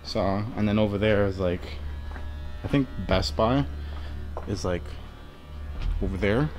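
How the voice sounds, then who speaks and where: Young male vlogger, lowish voice, jittery rambling, phone mic in a big empty basement, faint music behind. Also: measured pace. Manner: rambling, nervous, hushed, lots of "like". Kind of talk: casual handheld walkthrough vlog. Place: empty concrete basement, large indoor space. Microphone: handheld phone camera.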